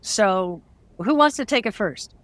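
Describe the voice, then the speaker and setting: A woman's voice: mid-range voice, animated, a little slow, dry recording. A woman hosting a casual interview, asking questions in a playful, joking way. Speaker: interviewer and host.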